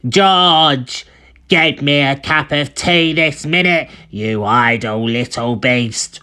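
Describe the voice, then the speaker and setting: Storybook grandmother, acted by a narrator: old, cranky, imperious, slow and forceful scolding with big pitch swings. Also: clean tone. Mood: bossy, impatient. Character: cranky bossy old grandmother, insulting and demanding.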